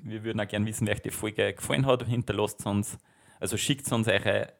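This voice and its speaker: A man's voice: fairly low pitch, fairly even intonation, brisk, vocal fry. A man in his thirties with an Austrian accent, co-hosting a podcast in casual, jokey chat full of self-mockery. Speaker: podcast co-host.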